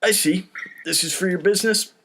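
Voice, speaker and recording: A man's voice: sits high, fairly quick, vocal fry, fairly bright tone, pitched above the performer's own voice, exaggerated voice. A man voicing a bigoted, falsely cheerful banker in a comedy script, with a theatrical salesman's delivery.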